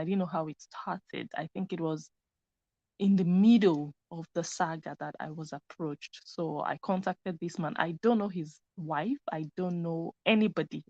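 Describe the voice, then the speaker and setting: A woman's voice: low voice, dry recording. A woman hosting a live stream, commenting in a chatty way that speaks straight to her viewers. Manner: chatty, talks to viewers directly. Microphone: computer mic. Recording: dry, dull lo-fi sound.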